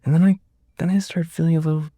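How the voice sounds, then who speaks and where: A man's voice: fairly high pitch, level tone, fast talker, mellow, dry recording, studio quality, natural voice. A man playing a confused, shaken survivor under questioning in a naturalistic audio drama, hesitant and stammering as he speaks.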